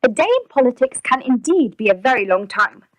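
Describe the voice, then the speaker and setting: A woman's voice: high-pitched, sing-song, brisk. A woman acting as a composed news reporter delivering a broadcast report within a radio drama. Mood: measured, wry. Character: composed female news reporter summing up politics.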